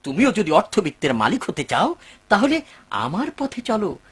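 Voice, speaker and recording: A man voicing an arrogant, boastful rich landowner in an animated moral story, with a theatrical delivery. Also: high-pitched, normal speed, breathy edge, exaggerated voice. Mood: indignant, defiant. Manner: theatrical, swinging from mocking to wailing. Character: arrogant, boastful rich man who owns two orchards.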